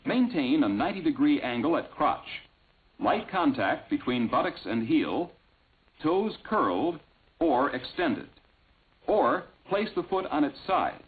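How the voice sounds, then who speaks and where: A man reading scripted instructional narration in a measured, clearly enunciated way, on an old film soundtrack. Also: on the high side, very animated. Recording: tinny, band-limited vintage film soundtrack.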